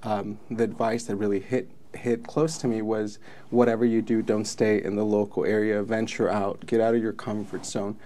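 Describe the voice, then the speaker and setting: Man from Mexico, native Spanish speaker, fairly low voice, earnest storytelling. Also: little pitch movement, normal speed, warm tone. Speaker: film commission president. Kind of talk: interview guest.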